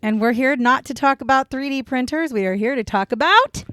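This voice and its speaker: A woman's voice: high-pitched, a little fast, a bit bright, no echo. Chatty laughing woman, dry close mic. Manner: chatty, laughs often, rambling asides, swears. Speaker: podcast co-host. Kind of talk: casual banter podcast.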